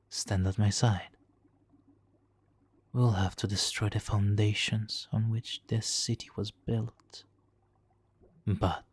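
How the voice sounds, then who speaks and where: A man playing a smug, seductive supervillain hypnotist in an ASMR roleplay, speaking softly and theatrically with lapses into whispers. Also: on the low side, breathy edge. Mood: seductive, persuasive.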